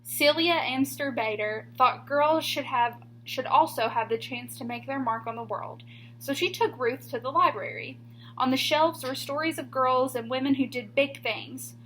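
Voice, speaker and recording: A woman teacher reading a children's picture book aloud expressively, with deliberate, emphatic pauses on key words. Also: high-pitched, fairly bright tone, slight background noise, rough recording.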